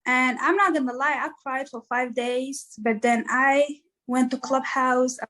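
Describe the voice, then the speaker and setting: A woman's voice: sits high, fairly even intonation, measured pace, fairly bright tone. A woman from East Africa, a musician guest, answering interview questions in an earnest, motivational way with lots of "like" fillers.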